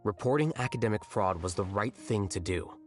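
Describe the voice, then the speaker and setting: A cool, unbothered young scholar, played by a man, with a lowish voice and dry, cutting delivery. Soft game music sits faintly behind him. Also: fairly quick, natural voice. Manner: calm, deadpan, cutting. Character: aloof, dryly sarcastic male scholar. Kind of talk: video game dialogue.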